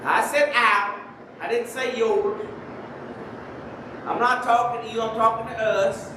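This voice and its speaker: Guy preaching softly in a big echoey church, high voice, kinda far from the mic, bit of noise. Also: steady tone, a little slow. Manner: soft-spoken preaching, holds his voice down on the mic. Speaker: lay preacher. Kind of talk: sermon. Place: church sanctuary. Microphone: microphone.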